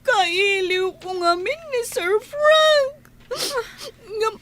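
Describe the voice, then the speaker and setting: A woman's voice: very high-pitched, animated, extremely slow, fairly bright tone, exaggerated voice. A woman with a Filipino accent playing a lovesick, plaintive young housemaid in a radio drama. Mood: tearful longing. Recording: music under the voice.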